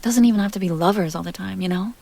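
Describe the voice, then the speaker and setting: A woman's voice: mid-range voice, mellow. A woman playing an ageless vampire heiress, teasing, tender and wistful, in an intimate, half-whispered ASMR roleplay. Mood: wistful, confiding. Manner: breathy, often whispering, unhurried, coaxing.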